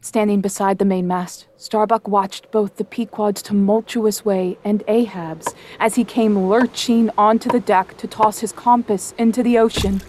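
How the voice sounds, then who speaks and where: An actress playing a seafarer narrator in an audio drama, telling the tale in measured, literary prose. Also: female voice, mid-range voice, fairly even intonation, a little mellow, slight background noise, natural voice. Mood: solemn recollection. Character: grave seafarer narrator recalling a doomed voyage.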